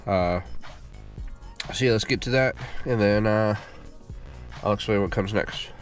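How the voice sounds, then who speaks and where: A man with a low voice, stuffed up and congested, talks through a car repair job in a relaxed, rambling way with lots of ums and uhs. Faint music plays softly behind him. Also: measured pace. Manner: relaxed, filler-heavy explaining. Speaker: car repair channel host. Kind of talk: casual how-to walkthrough. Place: working at a car.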